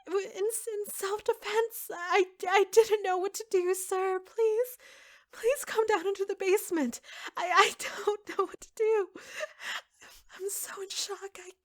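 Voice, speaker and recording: A woman playing an obsessive, jealous secretary in an ASMR audio roleplay, soft-spoken and often slipping into breathy whispers. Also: very high-pitched, steady tone, measured pace, no echo, clean studio sound. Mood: feigned panic, tearful. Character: obsessive yandere secretary, devoted, jealous, coldly menacing woman.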